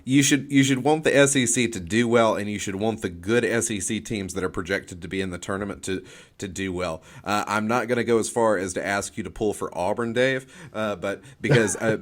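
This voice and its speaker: A man co-hosting a sports podcast, giving opinions and game picks in casual talk, halting and repeating phrases as he reasons. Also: fairly low pitch.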